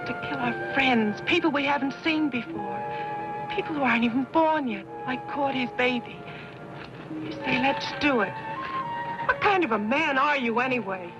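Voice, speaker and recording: Proud earnest american frontier woman, highish voice, impassioned, dull old western film sound, music. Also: lively intonation. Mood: impassioned, reproachful. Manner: measured, impassioned speechmaking.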